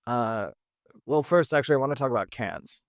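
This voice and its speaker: A man hosting a podcast, telling a history story in a relaxed, conversational way, with frequent fillers. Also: medium pitch, brisk, background noise. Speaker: podcast host. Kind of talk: casual storytelling narration.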